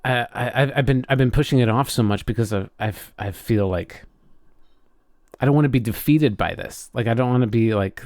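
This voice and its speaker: Halting man in close, dry casual chat. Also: medium pitch, a little mellow, no room sound. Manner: halting, frequent self-interruptions. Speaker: podcast co-host. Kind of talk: casual conversational podcast chat.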